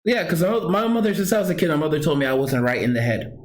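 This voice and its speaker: A man's voice: on the high side, sing-song, fast. A man telling a personal story straight to his viewers, earnest and emphatic, repeating points and correcting himself as he goes. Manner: frequent restarts and self-corrections. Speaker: vlogger.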